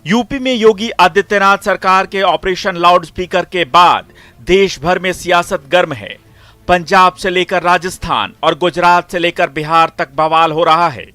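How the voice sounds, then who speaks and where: A man's voice: high voice, measured pace, sharp tone, faint music behind. A man reading a scripted news report as the reporter.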